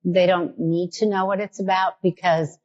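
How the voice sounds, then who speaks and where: A woman's voice: fairly low pitch, little pitch movement, normal speed, darkish tone, dull audio. A woman with a New York accent hosting a casual podcast interview, thinking aloud with many restarts and real enthusiasm. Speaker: podcast host.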